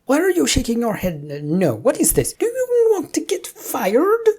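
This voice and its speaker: A woman's voice: sits high, wildly swooping pitch, moderate pace, a little mellow, breathy edge, pitched above the performer's own voice, heavily acted. A woman voicing an irate, bossy male office boss in an audiobook-style story, with a nasal, demanding delivery. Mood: indignant. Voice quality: annoying nasal voice. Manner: demanding, exasperated, blustering.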